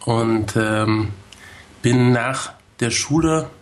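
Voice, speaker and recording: A man's voice: mid-range voice, extremely slow. A man joining a talk show as a guest over a video call, speaking in a hesitant, thoughtful way with many fillers. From Germany. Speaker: guest, tour guide. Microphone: video call from home.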